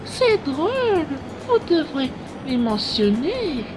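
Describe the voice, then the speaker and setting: A woman's voice: high-pitched, very animated, heavily acted. A woman voicing a mocking, theatrical fortune-telling villainess in a cartoon comic fan dub, taunting and drawing out her words. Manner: taunting, drawn-out, swooping. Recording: music behind the voice.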